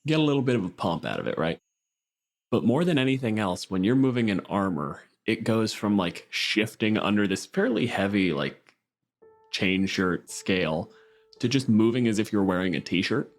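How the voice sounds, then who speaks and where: A man's voice: fairly low pitch, expressive, moderate pace. A man running a tabletop roleplaying game as game master, chatting casually with the players.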